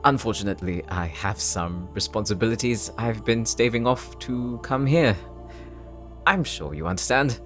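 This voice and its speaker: A man's voice: lowish voice, lively intonation, moderate pace, fairly bright tone, faint music behind. A man playing an affable, evasive father in an anime audio roleplay, polite, hesitant and placating. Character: affable, evasive young father and weapon maker.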